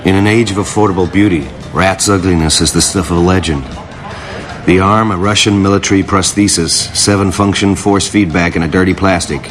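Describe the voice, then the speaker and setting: An actor playing a jaded, washed-up hacker, narrating a noir radio drama in a terse, world-weary, brooding manner. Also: male voice, low voice, fairly even intonation, a little fast, natural voice. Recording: music bed under voice.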